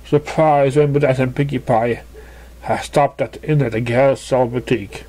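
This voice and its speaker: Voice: medium pitch, steady tone, moderate pace, dark tone. A narrator reading a scripted fan fiction story aloud.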